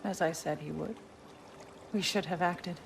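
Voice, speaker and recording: A woman playing a wise, compassionate warrior wife in a video game, with measured, eloquent, formal phrasing. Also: on the low side, gravelly. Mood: concerned. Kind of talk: dramatic video game acting. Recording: loud background ambience noise.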